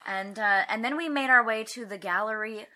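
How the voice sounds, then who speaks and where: A woman casually recounting a family trip in chat with her family, telling it lively and acting out sounds. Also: fairly high pitch, brisk. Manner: lively storyteller, throws in sound effects.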